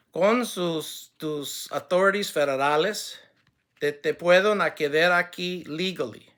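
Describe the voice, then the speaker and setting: A man who speaks English natively, making a formal direct address to camera in halting, non-fluent Spanish full of restarts, switching to English, earnest and ending with blessings. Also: a little high, bright, forceful tone.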